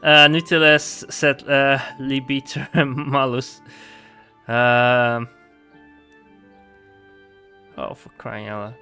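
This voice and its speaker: A man's voice: medium pitch, steady tone, drawn-out words, long pauses, sharp tone, faint music behind. A man with a Dutch accent, chatting casually while streaming a game, giggly and hesitant with many ums. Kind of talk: casual gameplay commentary.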